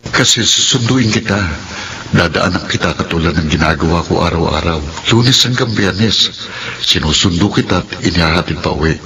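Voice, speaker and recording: A man's voice: sits low, normal speed, sharp tone, slight background noise, low-quality recording. An actor playing an attentive, devoted young working man in love in a Filipino radio drama. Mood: tender, earnest.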